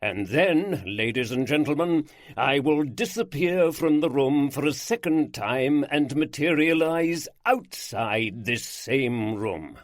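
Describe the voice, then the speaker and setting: A man playing a flamboyant aristocratic lord with a rich baritone and an aristocratic drawl, speaking in slow, grand, emphatic phrases. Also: sing-song, no room sound, studio quality. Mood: grandiose.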